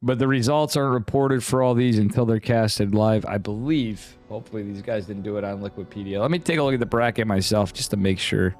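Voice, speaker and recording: A man's voice: fairly low pitch, brisk, hardly any pauses, darkish tone. American man casting esports over music. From upstate New York, United States. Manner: rambling, slangy, chatting back to viewers. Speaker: esports caster and stream host. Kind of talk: casual livestream chat and game commentary.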